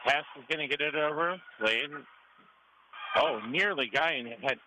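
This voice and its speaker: A man's voice: a little high, measured pace. An American man doing live radio play-by-play commentary of a volleyball match. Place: high school gym during a match. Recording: clearly noisy gym ambience. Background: gym ambience and noise.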